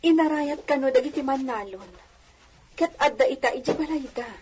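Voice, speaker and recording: A woman's voice: high voice, normal speed, darkish tone, close to an everyday voice. A woman playing a genteel, soothing wife of a hacienda owner in a radio drama, speaking in a coaxing, gracious way. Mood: pleading, coaxing. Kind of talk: radio drama acting in Ilocano. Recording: faintly noisy radio drama audio.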